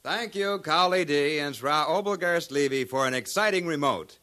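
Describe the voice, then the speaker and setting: A man's voice: on the high side, moderate pace, pushed and bright. A man playing a polished, courteous studio host in a 1950s radio drama. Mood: courteous, brisk.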